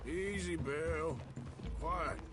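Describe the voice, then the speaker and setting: An actor playing a weary, commanding American outlaw gunslinger in a video game, with a gruff, dry drawl. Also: male voice, a little high, very slow. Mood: firm, commanding. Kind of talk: video game drama acting. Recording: loud music and horse hooves behind.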